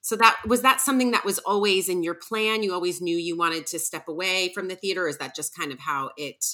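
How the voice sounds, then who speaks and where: A woman's voice: fairly low pitch, fairly quick, sharp tone. A woman hosting an interview, affirming her guest and steering with follow-up questions. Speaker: interview host. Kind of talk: casual interview chat.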